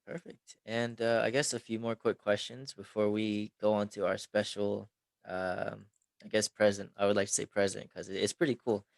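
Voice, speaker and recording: A man hosting a podcast interview, talking in a halting way with many pauses and restarts. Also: on the low side, level tone, gravelly, no echo. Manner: hesitant, lots of ums and restarts. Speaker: podcast host. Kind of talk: casual interview chat.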